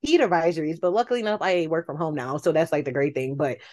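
Low-pitched American woman chatting. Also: fast, darkish tone. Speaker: podcast guest. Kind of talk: casual chat.

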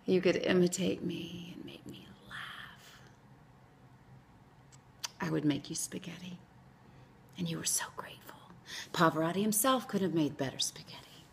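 A woman performing a dramatic monologue as a wistful, wounded former lover, hushed and breathy, with long pauses. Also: on the low side, in a whisper. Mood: fond remembering. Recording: faint background noise.

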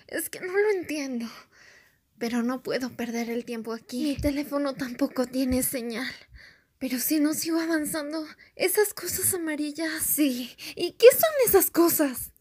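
Woman as a timid high-pitched schoolgirl. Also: normal speed, darkish tone, close to an everyday voice. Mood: frightened, confused. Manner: hesitant, frightened, questioning. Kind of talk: fan-dub game character acting.

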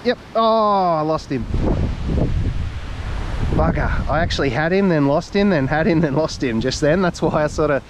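A man's voice: on the high side, animated, background noise. Australian man muttering commentary while fishing. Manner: chatty, self-talking, quick to laugh. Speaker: fishing vlogger. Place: outdoors on a reservoir bank. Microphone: head- or chest-mounted action camera.

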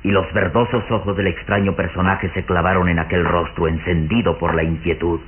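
Male dramatic narrator, very low voice, muffled vintage radio recording. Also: level tone, fairly quick, a little hiss or hum. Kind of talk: dramatic scripted narration for Mexican radio serial.

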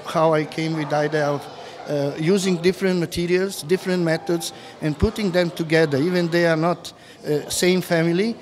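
Male artist in his fifties, halting interview talk, slightly raised pitch, faint room hum. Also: darkish tone. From former Yugoslavia. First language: Serbian. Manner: halting, many fillers and restarts. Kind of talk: interview on camera. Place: gallery room indoors. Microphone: handheld mic held close.

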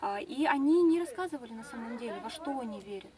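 Female tour guide, young adult, quick informative talk, mic far off, people chatting behind. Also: fairly high pitch, animated, crowd noise. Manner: fast, fluent, informative, with fillers. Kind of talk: explaining to a tour group. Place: inside a large tent with a sand floor.

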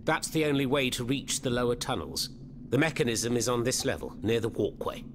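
An actor playing a fervent, florid French revolutionary in a video game, speaking with a French accent. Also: male voice, mid-range voice, lively intonation, fairly quick, background noise, natural voice. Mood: grave, explanatory. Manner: theatrical, florid, eloquent. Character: theatrical, fervent male French revolutionary hiding underground. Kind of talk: video game cutscene acting.

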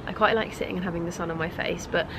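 A woman's voice: fairly low pitch, fairly even intonation, fast, background noise. A young British woman with a congested voice chatting casually and informally to camera in a rambling way. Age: young adult. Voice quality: stuffy, congested. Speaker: lifestyle vlogger. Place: outdoor balcony.

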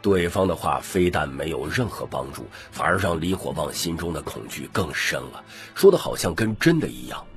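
A man's voice: extremely low pitch, sing-song, moderate pace, gravelly, hardly any pauses, faint music behind. A man reading dramatic scripted narration as an audiobook narrator.

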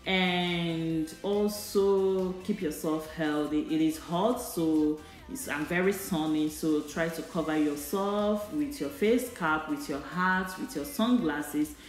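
A woman's voice: sits low, slow pace, hardly any pauses. A woman from Nigeria giving earnest, repetitive advice in a voice-over monologue. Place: voice-over over store footage. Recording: roomy sound, faint music.

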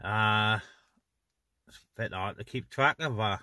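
A man's voice: fairly low pitch, a little slow, bright, forceful tone, no echo, clean studio sound. A man chatting casually over CB radio from inside a car, as one of the operators. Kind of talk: casual two-way radio chat. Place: inside a parked car on open moorland.

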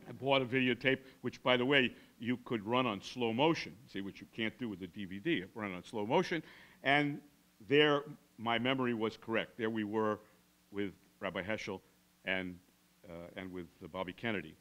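A man of about eighty with an American accent, giving a keynote speech as storytelling in deliberate, measured phrases with dramatic pauses. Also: on the low side, fairly bright tone, lo-fi sound. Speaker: keynote speaker. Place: large classroom before a seated audience. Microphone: podium microphone.

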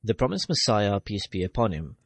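A man giving the English voice-over of a sermon, reading it formally in measured, phrase-by-phrase delivery. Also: fairly low pitch, sing-song, slightly rough, dry recording. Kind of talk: formal read translation of a sermon.

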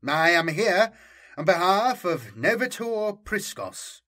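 A man voicing a pompous rogue trader envoy who speaks in formal, courtly, measured phrases for an audiobook. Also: sits high, big pitch swings, sharp tone, pitched above the performer's own voice, heavily acted. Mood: formal, proclaiming. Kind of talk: audiobook character dialogue.

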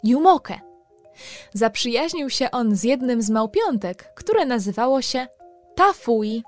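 A woman's voice: on the high side, animated, slow pace, darkish tone, soft background music. A woman hosting a children's programme, telling stories playfully and speaking straight to her young listeners. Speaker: host and storyteller of a children's programme. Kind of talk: storytelling for children.

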